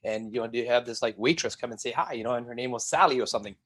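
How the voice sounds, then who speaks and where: Fast-talking man sharing his story. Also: medium pitch, husky. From Singapore. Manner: energetic, addresses viewers directly. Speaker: guest. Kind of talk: motivational interview storytelling.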